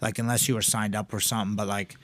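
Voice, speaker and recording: A man co-hosting a casual podcast, rambling and swearing, his pitch jumping up when he gets excited. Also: quick pace, no echo. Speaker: podcast co-host, gym owner. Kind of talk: casual profane chat.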